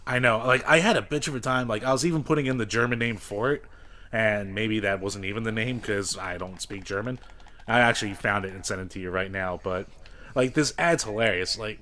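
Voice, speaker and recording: A man's voice: fairly low pitch, a bit bright. A man co-hosting a podcast, bantering casually about games in a profane, self-mocking way. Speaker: podcast co-host.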